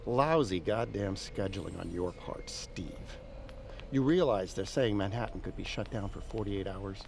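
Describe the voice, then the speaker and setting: A haughty politician in an audio drama. The voice is low with a gravelly fry and an irritable, disdainful manner. Faint ambient noise sits behind it. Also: male voice, moderate pace, a little mellow. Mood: irritated, disdainful. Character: grumpy, haughty male senator.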